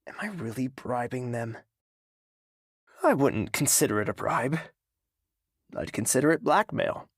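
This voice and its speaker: A man playing a brooding, lovesick king with a volatile temper, delivering a reflective, halting monologue in an audio drama. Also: medium pitch, big pitch swings, dry recording, clean studio sound. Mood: brooding, restrained.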